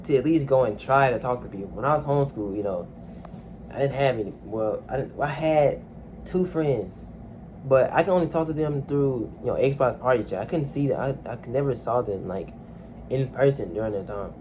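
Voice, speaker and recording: A young man talking casually and haltingly into a phone at home, with a soft, low-key delivery and lots of fillers. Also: a little hiss or hum. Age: young adult. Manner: soft-spoken, hesitant, many fillers. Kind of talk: casual personal monologue. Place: home, alone in a room.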